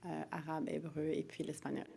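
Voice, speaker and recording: A woman's voice: sits low, flat delivery, moderate pace, gravelly, mellow, husky. A woman from Montreal with a Quebec French accent, answering interview questions conversationally in a hesitant way, often repeating and rephrasing her words. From Montreal, Quebec, Canada. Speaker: interview guest, lawyer.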